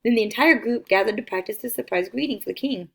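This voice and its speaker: A woman reading a children's picture book aloud as an expressive storyteller. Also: fairly high pitch, fast talker, gravelly.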